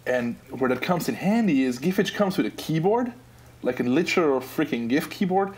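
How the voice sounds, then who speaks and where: A man's voice: on the high side, animated, normal speed, a little mellow. A man in his twenties from Austria, with an Austrian accent, chatting as a podcast guest in a laid-back, joking, rambling way. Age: 20s. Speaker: podcast guest, app designer. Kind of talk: casual podcast chat.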